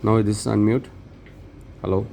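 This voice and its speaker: Low-voiced man giving a halting tutorial. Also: fairly even intonation, vocal fry, dark tone, slight background noise. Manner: halting, short phrases, non-native phrasing. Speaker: tutorial presenter. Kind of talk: unscripted step-by-step tech tutorial.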